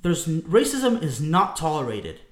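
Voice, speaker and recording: Emphatic high-voiced man in an echoey room. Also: huge pitch swings, measured pace. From France. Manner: emphatic, repeats himself, addresses listeners as folks. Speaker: podcast co-host. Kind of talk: opinionated sports talk.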